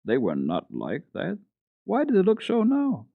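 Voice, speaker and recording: A man's voice: wildly swooping pitch, a little slow, gravelly, dry recording, full character voice. A man putting on an eager little mountain girl. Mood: anxious questioning. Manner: high, pleading, wide-eyed questioning. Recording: dull old radio recording.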